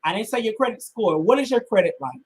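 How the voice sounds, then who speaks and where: A woman's voice: medium pitch, animated, fast, a little mellow, breathy edge, rough recording. A woman in her twenties, a podcast co-host, giving blunt, emphatic opinions in slangy casual chat. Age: 20s.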